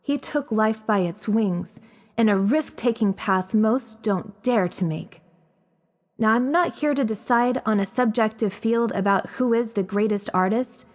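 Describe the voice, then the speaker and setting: Lady playing a confident stage narrator, declaiming with big pauses, mid pitch, lots of hall reverb. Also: darkish tone. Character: assured female stage narrator introducing a legend. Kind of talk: theatrical scripted narration.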